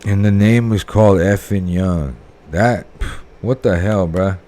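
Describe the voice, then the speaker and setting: A man hosting a reaction video, chatting casually and swearing as he thinks out loud. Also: low-pitched, unhurried.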